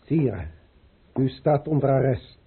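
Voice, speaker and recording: Stern husky officer, tinny recording. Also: male voice, medium pitch, expressive, slow pace, full character voice. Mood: stern, insistent. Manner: formal, insistent, official. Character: stern, determined male count leading an arrest party. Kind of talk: Dutch radio play acting. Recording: thin, phone-like old radio recording.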